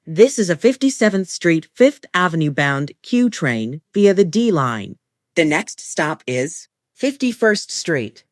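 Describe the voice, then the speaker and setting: A woman reading scripted automated train announcements in measured, formulaic phrases. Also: fairly low pitch, very animated, dry recording, clean studio sound. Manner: formulaic, measured delivery of short set phrases.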